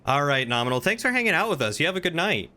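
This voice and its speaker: A man's voice: a little high, very animated, racing, sharp tone. A man chatting casually as he streams a game, talking to viewers and other players.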